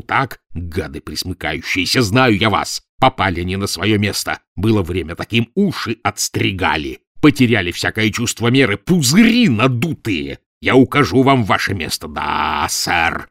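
A man voicing an irascible older professor with a gruff, blustering, scornful manner in a spoken storybook. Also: on the low side, fairly bright tone, no room sound, studio quality, heavily acted. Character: irascible short bull-necked male professor, older.

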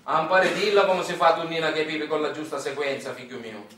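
A man's voice: fairly high pitch, fairly even intonation, fairly quick, fairly bright tone, lightly acted. An actor playing a folksy, garrulous Sicilian man in a theatrical monologue, with a colloquial, repetitive and emphatic way of talking and a Sicilian accent. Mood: fatherly, boastful. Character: folksy, garrulous Sicilian man explaining recipes to his son. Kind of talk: theatrical storytelling monologue in dialect-laced colloquial speech. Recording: roomy, distant stage recording with faint noise.

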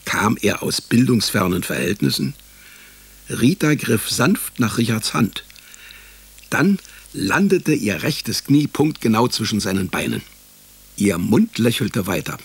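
A wry detective telling his case in a radio drama. The voice is husky and gravelly, with very varied intonation. His delivery is dry and ironic. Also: lowish voice, very animated, measured pace, no room sound, exaggerated voice. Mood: sardonic. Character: wry, sardonic male private detective narrating his case. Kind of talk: first-person radio crime narration.

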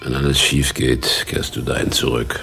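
An actor playing a down-and-out former stage hero in a radio drama, an idealistic vagrant with an earnest, theatrical delivery. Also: male voice, a bass voice, level tone, normal speed, vocal fry, bright, forceful tone, soft background music, full character voice. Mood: lofty, wistful. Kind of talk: 1980s German radio drama acting.

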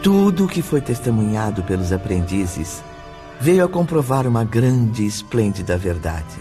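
An actor playing a solemn narrator in a radio drama, emphatic in delivery. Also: male voice, fairly low pitch, big pitch swings, moderate pace, music in the background. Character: solemn male narrator declaring a moral lesson.

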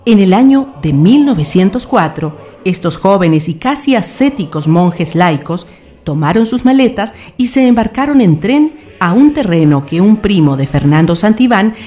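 A woman's voice: on the low side, warm tone. A woman reading scripted narration for a radio drama. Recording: faint music behind. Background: music.

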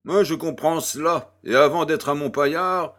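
A man's voice: on the high side, moderate pace, fairly bright tone, natural voice. A man playing a jovial, worldly French baron in an audio drama, with pompous, effusive courtesy. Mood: curious, courteous.

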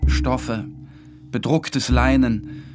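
Isolated narrator of literary audio drama, medium register, sombre, measured, scornful, music underneath. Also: male voice, mid-range voice, fairly even intonation. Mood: measured recollection. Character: isolated, disdainful male narrator recounting his wretched life. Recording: music behind the voice.

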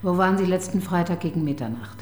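An actress playing a sharp, sardonic homicide detective in a radio crime drama, brisk, dry and probing. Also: female voice, lowish voice, expressive, close to an everyday voice. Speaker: detective chief inspector.

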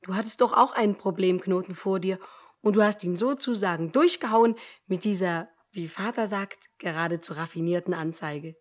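Actress as adoring young sweetheart, naive, affectionate, earnest, mid-pitched, radio drama. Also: female voice, moderate pace, close to an everyday voice. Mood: admiring. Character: adoring, naive young sweetheart who parrots her father. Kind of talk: German radio drama acting.

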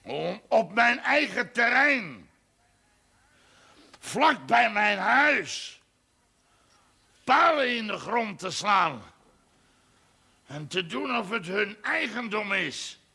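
An actor playing a furious, aggrieved village landowner with a Dutch accent, ranting in a gravelly, strained voice in a television drama. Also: male voice, high-pitched, big pitch swings, very slow, long pauses, full character voice. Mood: indignant. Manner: ranting, shouting, emphatic, coarse-mouthed. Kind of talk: television period drama acting.

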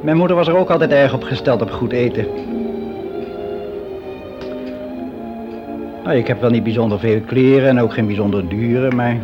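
A dull, lo-fi radio drama recording with faint music carries a middle-aged man, voiced by an actor. His voice sits at an average pitch. He confides in a frank, matter-of-fact way, pausing to justify himself like someone being interviewed. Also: close to an everyday voice. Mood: modestly particular. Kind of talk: conversational radio play monologue.

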